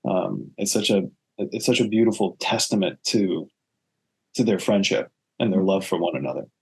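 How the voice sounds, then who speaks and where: Man, deep-ish voice, husky grain, earnest, stop-and-start phrasing, interview guest. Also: expressive, rough recording. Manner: earnest, halting, restarting phrases. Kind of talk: reflective interview conversation.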